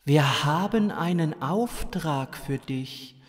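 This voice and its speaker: Casting: male reader playing an extraterrestrial envoy, grave and measured, drawn-out delivery, sci-fi story. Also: a little high, big-room sound. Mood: solemn, measured. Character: solemn, slow-speaking alien visitor on a mission.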